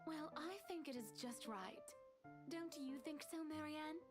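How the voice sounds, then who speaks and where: A wealthy wife and mother in a visual novel. She is high-pitched, genteel and sure of herself, giving orders breezily. Music is clearly heard behind her. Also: a little slow. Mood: pleased, coaxing. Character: wealthy, assured wife and mother shopping for mansions. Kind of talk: scripted visual novel game acting.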